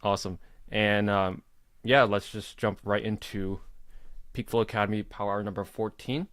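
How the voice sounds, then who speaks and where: A young man in his early twenties hosts a casual live question-and-answer stream, telling his story in a loose, rambling way. His voice sits on the low side. The sound is dry and close, with no room around it. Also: moderate pace, clean tone, no room sound. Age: 20s. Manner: relaxed, rambling with fillers and laughs. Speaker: host.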